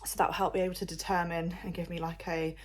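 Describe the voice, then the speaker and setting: Chatty pregnant young mum. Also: female voice, on the low side, level tone, fast talker. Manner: chatty, rambling with many fillers. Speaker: vlogger. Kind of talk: casual diary vlog. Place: at home.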